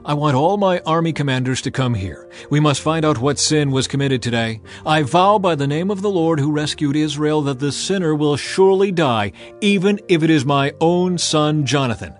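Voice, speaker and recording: A man voicing a stern, driven king who speaks in a forceful, commanding way. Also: a little high, expressive, normal speed, faint music behind, pitched above the performer's own voice, close to an everyday voice.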